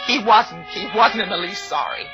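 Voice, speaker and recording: An actress playing a fussy, anxious middle-aged spinster, precise and indignant, in 1940s radio suspense style. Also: female voice, medium pitch, normal speed, close to an everyday voice. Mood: aggrieved. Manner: precise, indignant, fretful, breaking into cries. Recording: muffled old radio broadcast with music.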